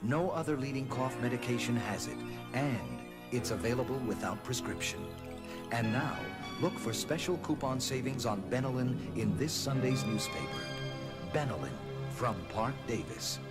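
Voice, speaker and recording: Male radio ad announcer over loud music. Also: mid-range voice, animated, normal speed. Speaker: commercial announcer. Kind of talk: scripted radio advertisement.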